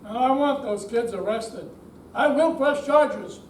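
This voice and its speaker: Brief: man as gullible, grumbly older male customer, high-pitched, readers theater, distant mic in echoey room. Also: measured pace. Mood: indignant. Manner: grumbly yet trusting. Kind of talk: amateur readers theater stage reading. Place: indoor senior center performance room. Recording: distant echoey hall, faint noise.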